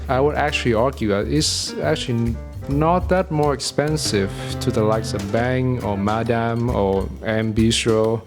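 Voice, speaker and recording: A man with a Vietnamese accent, Vietnamese his first language, at a middle pitch. He reviews food in a chatty, opinionated manner with wry asides, as guitar music plays clearly under his voice.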